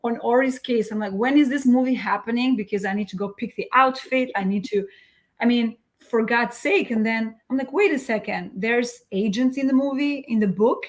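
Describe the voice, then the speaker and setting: A woman from Albania hosting a casual live interview, telling a story in an animated way and laughing readily. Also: on the high side, moderate pace. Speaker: host.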